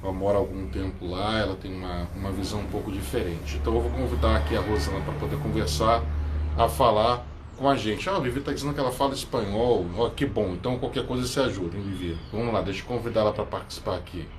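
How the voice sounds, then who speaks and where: A man in his forties with a Brazilian accent, a native Portuguese speaker, hosting a casual online interview in a halting way with repetitions. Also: on the low side, fairly even intonation, a little hiss or hum. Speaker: interview host. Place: home balcony.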